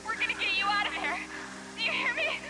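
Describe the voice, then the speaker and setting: A woman's voice: extremely high pitch, lively intonation, fast, over loud music, rough recording. An actress playing a distraught woman pleading desperately with a dying loved one in a video game. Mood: desperate, frantic. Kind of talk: dramatic video game acting.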